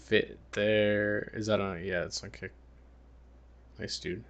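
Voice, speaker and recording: Male streamer, slightly low voice, very unhurried, slangy laid-back gamer chat. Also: level tone, smooth. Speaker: livestream host. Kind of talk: casual livestream chat while gaming.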